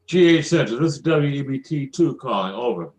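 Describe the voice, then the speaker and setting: A man's voice: mid-range voice, animated, a little fast. A man making brief, formal procedural radio calls in clipped phrases as a trainee operator.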